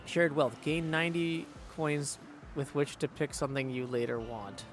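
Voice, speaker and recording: A man with a fairly high voice talks through a video game as a streamer, reading on-screen text and reasoning out his choices in a casual way. Game music plays behind him. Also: normal speed. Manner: thinks aloud, reads game text out. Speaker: game streamer practicing voice acting. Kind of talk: casual live game commentary, reading aloud.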